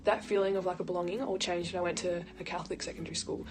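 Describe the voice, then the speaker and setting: A young woman reads quickly, with small hesitations. Her voice is fairly low, and clear music plays underneath. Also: flat delivery. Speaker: university student. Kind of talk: read-aloud personal account. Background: background music.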